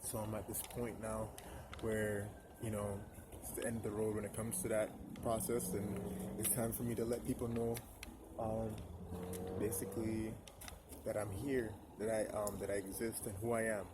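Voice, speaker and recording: A man in his twenties speaking to camera in a personal monologue, halting, with many pauses and restarts. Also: on the low side, flat delivery, background noise. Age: 20s. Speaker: vlogger.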